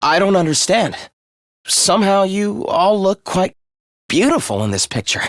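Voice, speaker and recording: Smug, taunting young villain, swooping pitch. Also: male voice, sing-song, normal speed, dry recording, clean studio sound. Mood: coolly puzzled. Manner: mocking, condescending, theatrical. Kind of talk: video game character acting.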